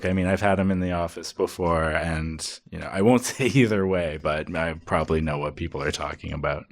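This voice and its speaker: A very low-voiced guidance counselor played by a man in a comedy sketch. He is smug and gossipy, talking in a confiding, self-satisfied way as if he relishes every student's business. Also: fairly even intonation, fairly quick.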